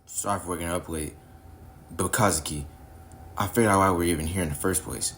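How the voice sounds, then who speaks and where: A man voicing an earnest, guarded young male devil hunter from another universe, delivering animated-story dialogue. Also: low-pitched, fairly quick, slight background noise. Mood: somber, resolute. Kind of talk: animated-series character dialogue, recorded in repeated takes.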